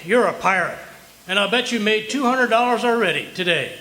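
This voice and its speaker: A man's voice: sits high, expressive, brisk, sharp tone, rough recording. A man playing a blustery, stubborn, penny-pinching husband in an old-time radio comedy, with indignant, folksy exaggeration. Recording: live stage performance with background noise.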